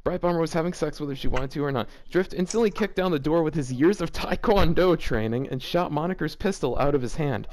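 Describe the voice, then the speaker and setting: A man's voice: fairly high pitch, a little fast, few pauses, darkish tone. A man hosting a casual read-aloud of fan fiction, breaking it up with incredulous, joking commentary. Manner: incredulous asides breaking up the reading.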